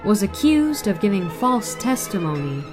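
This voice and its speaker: A woman reading a written political article aloud in a measured, deliberate way. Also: medium pitch, big pitch swings, over music. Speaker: reader.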